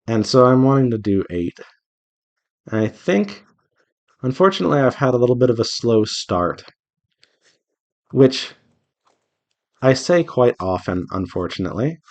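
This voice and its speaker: A man's voice: mid-range voice, sing-song, unhurried, long pauses, a little mellow. A man chatting casually to viewers as he works, thinking aloud in a rambling, self-reassuring way. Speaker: visual novel developer hosting a draw-along devlog. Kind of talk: casual solo chat while drawing.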